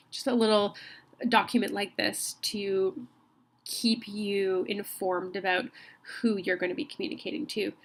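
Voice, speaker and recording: A woman with a slight cold walks her students through a recorded college lecture. She talks in a friendly, conversational way, often pausing on ums and starting her sentences over. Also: mid-range voice, fairly bright tone. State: has a cold. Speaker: college instructor.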